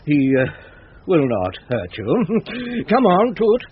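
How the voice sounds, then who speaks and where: An actor playing a boisterous, mischievous older knight with hearty bluster in a comic Shakespearean radio play. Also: male voice, high voice, huge pitch swings, slow pace, breathy edge, heavily acted. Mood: mock solemn. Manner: hearty, blustering, chuckling, hesitating for effect. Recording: dull 1970s radio recording, faint noise.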